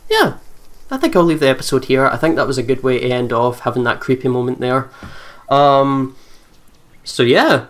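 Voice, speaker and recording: A let's play host reading a horror visual novel aloud and commenting casually, with excitable, jumpy reactions. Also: medium pitch. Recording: music behind the voice. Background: game music.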